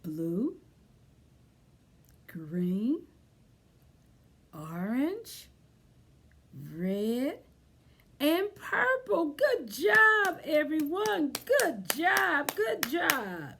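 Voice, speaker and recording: A woman's voice: sits high, big pitch swings, extremely slow, vocal fry, long pauses, a bit bright, a little hiss or hum. A woman hosting storytime for young children, encouraging and sing-song as she leads rhymes and simple activities. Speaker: children's library storytime host. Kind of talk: children's storytime with rhymes, songs and craft talk.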